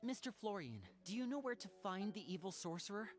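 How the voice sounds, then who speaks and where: A woman voicing a lively, determined young female magician in an anime game. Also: medium pitch, a little fast, close to an everyday voice. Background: music.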